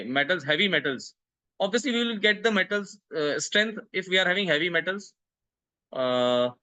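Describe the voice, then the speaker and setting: A man from India lectures over a video call, repeating words while he reaches for the next one. His voice is bright and a little high, and the sound is dry and close. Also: no room sound. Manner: explanatory, repeats words while searching for them. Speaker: guest lecturer. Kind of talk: online lecture.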